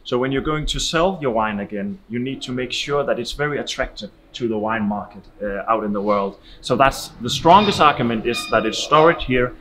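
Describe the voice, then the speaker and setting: A man, answering as an expert in a promotional interview, explanatory and slightly halting. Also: medium pitch, animated, bright, forceful tone, a little hiss or hum. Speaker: wine investment expert. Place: large indoor warehouse, walking.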